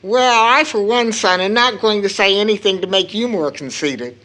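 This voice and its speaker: A woman's voice: mid-range voice, normal speed, a bit bright, lightly acted. A woman acting a tired, loving, anxious mother of two in an audio drama, with tender motherly endearments. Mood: warm, proud.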